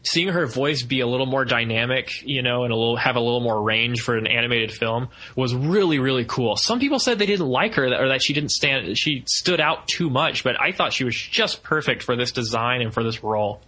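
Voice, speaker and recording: A man's voice: mid-range voice, animated, a little fast, hardly any pauses, sharp tone. A man co-hosting a casual review chat, speaking with gushing enthusiasm. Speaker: podcast co-host reviewing films.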